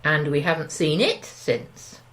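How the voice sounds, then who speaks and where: A woman's voice: low-pitched, animated, measured pace, fairly bright tone. An actress playing a curtain-twitching suburban wife in an audio drama, gossipy, anxious and easily shocked, with a British accent and a hesitant, insinuating way of talking. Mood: recalling. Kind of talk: audio drama dialogue, naturalistic.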